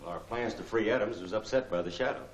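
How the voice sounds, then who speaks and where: A man's voice: lowish voice, fast, close to an everyday voice. An actor playing a terse, tough henchman who answers to his boss in a 1940s film serial. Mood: defensive. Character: deferential male gang henchman, tough and terse. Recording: echoey, faintly noisy 1940s film soundtrack.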